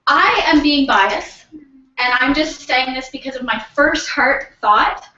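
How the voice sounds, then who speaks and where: High-voiced enthusiastic woman in a roomy recording. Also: slow pace, bright, forceful tone. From Canada. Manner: enthusiastic, conversational, addresses the audience with questions. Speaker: presenter at a company team meeting. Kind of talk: informal motivational business presentation. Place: room in a house, live audience. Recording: roomy, distant, dull, noisy live-feed recording.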